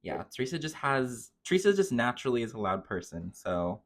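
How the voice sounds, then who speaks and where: A man's voice: medium pitch, big pitch swings, brisk. A man co-hosting a casual podcast, chatty and playful as he recaps a night out. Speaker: podcast co-host. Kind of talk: casual chat.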